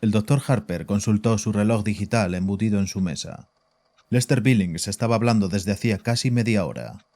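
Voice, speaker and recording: An actor narrating a horror audio drama and playing its composed psychiatrist, measured and grave in a deep, dark voice. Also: male voice, natural voice. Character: composed, probing male psychiatrist who also narrates. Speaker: narrator and doctor.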